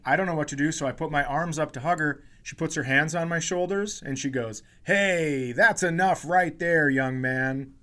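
A man's voice: medium pitch, fairly bright tone. A man who hosts a podcast as a comedian, telling stories casually with swearing, mock indignation and quoted dialogue.

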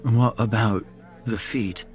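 A man's voice: lowish voice, steady tone, slow, faint music behind, voice pushed up. A man voicing a confused, frightened man at a party in a horror story, who begs and then bellows in panic. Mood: puzzled.

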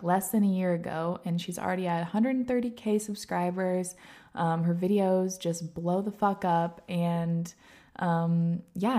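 A woman's voice: fairly low pitch, dark tone. A young woman hosts a casual podcast chat. She is bubbly and giggly, and her talk is full of "like". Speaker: podcast host.

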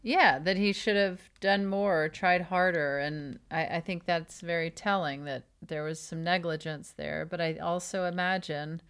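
A woman's voice: on the low side, fairly even intonation, normal speed, hardly any pauses, a bit bright, clear, resonant tone. A woman hosting a comedy podcast, chatting casually in a playful, teasing manner. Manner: playful, teasing, mock-defensive. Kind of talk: casual comedic chat about a documentary.

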